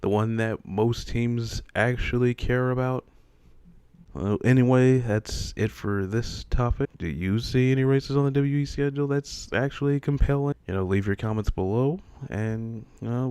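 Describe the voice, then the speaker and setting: A man's voice: medium pitch, normal speed, a little mellow, clear, resonant tone, no echo. A man hosting an opinion video, talking casually and in an exasperated way, with plenty of rhetorical questions. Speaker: host of a motorsport opinion video.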